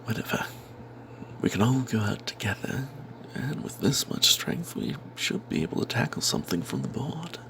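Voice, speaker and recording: A man voicing a roguish, money-minded cleric who tells a fantasy tale in breathy, confiding whispers with snide asides. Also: lowish voice, very animated, moderate pace, background noise. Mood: snide, calculating. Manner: confiding, hesitant, sardonic asides. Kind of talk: first-person fantasy tale told in whispers, ASMR.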